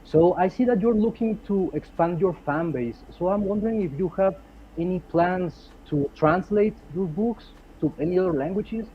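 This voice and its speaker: A man with a Mexican Spanish accent calls in over a dull, lo-fi line, his voice on the higher side. He speaks earnest English, stumbling and repeating words as he goes. A light hiss runs under it. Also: normal speed. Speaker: caller. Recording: dull lo-fi call audio with faint hiss.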